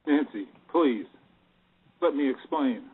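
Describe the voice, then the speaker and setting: A man's voice: medium pitch, expressive, takes it slowly. A man playing a cornered, exasperated bank boss in an audio play, pleading and protesting. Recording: tinny phone-like sound, clear background noise.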